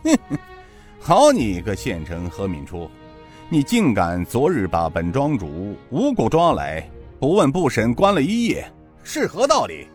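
A man's voice: medium pitch, wildly swooping pitch, unhurried, darkish tone, soft background music. A man voicing an arrogant, foul-mouthed male estate manager who sneers, curses and howls in pain. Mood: sneering.

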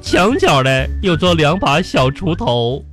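A woman playing a bossy, exasperated primary-school teacher in a radio comedy, scolding in a singsong with drawn-out sentence endings. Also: sits low, sing-song, over music. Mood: instructing. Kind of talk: radio sketch comedy acting.

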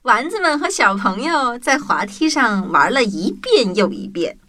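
A woman narrating a scripted children's story in an expressive way, as the presenter of an audio drama. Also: a little high, measured pace. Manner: expressive, addressing young children.

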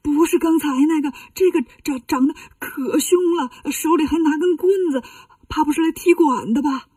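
A woman's voice: high voice, moderate pace, dark tone, no room sound, studio quality, distant mic, voice pushed up, natural voice. A woman playing a timid, panicky male temple servant monk who speaks fearfully in a storybook tale. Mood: frightened. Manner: fearful, stammering.

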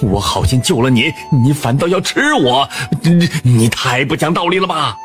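Man doing timid storybook scholar, hesitant and stammering, wide pitch swings. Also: big pitch swings, moderate pace, close to an everyday voice. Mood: frightened. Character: timid kind-hearted male scholar. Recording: faint background music.

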